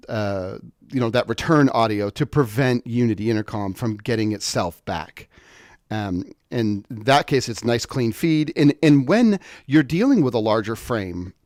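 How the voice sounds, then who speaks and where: A man with an average-pitched voice walks through a technical tutorial in a dry, close recording. He explains informally and off the cuff, often halting to think aloud and rephrase. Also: darkish tone, dry recording. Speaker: product tutorial presenter.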